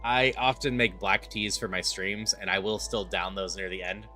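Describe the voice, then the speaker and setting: Streamer voice for game narration and relaxed chat, light background music underneath. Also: deep voice, normal speed.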